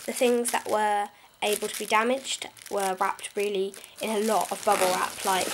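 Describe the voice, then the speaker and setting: Woman, slightly higher voice, cold-affected, chatty haul vlog, camera mic at home. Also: slight background noise. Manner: chatty, enthusiastic, frequent "um" and "like". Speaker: pet vlogger. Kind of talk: casual haul video.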